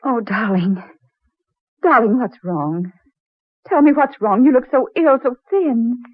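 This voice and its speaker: A husky young woman in love in an old radio melodrama. She is earnest, devoted and dramatic, with slow, halting phrasing. The sound is thin, dry, low-fidelity vintage radio. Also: lively intonation, no room sound, lo-fi sound. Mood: distressed, pleading. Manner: emotional, dramatic, with halting pauses. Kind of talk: 1940s radio drama acting.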